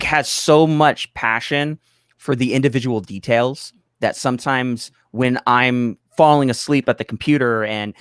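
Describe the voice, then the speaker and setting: A man with a mid-pitched voice explains things in a casual, conversational way. He is emphatic and rambles, interrupting himself to restart. The recording is dry and free of room sound. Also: fairly bright tone, clear, resonant tone, no room sound. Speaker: project collaborator, guest.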